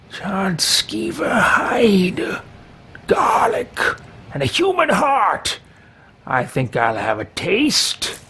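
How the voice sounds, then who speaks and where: A man voicing a pompous, power-hungry fantasy mage with theatrical, drawn-out delivery in a video game roleplay. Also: a little high, a bit bright, full character voice. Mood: sinister relish. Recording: faint background noise, game ambience.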